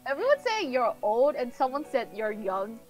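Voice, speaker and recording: A woman's voice: on the high side, sing-song, moderate pace. A woman of nineteen from Thailand, joining friends on a game stream in easygoing, teasing chat. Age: late teens. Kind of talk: casual gaming chat. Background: game music.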